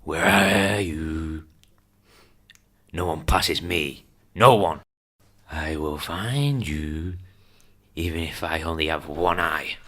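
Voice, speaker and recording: A man's voice: extremely low pitch, slow pace, pauses often, fairly bright tone, heavily acted. A man playing a huge, fanatically loyal monster guard, menacing and accusatory in a declamatory radio drama delivery.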